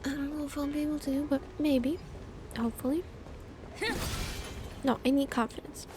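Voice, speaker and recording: A woman's voice: high-pitched, fairly even intonation, moderate pace, noisy background. A woman streaming a game with casual commentary, murmuring short reactions and playful interjections to herself. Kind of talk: casual live gameplay commentary. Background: game music.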